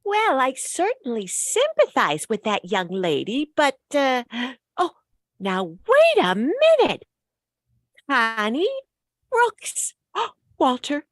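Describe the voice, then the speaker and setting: A woman's voice: high-pitched, no room sound, full character voice. An actress playing a flighty, absent-minded older landlady who dithers and stammers, in vintage radio comedy style. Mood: puzzled, astonished.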